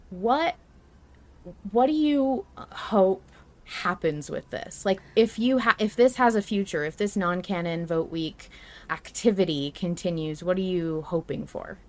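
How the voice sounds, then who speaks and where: A woman's voice: mid-range voice, a little slow, a little mellow. A woman hosting a podcast in casual, informal and enthusiastic chat with her guests. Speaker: podcast host.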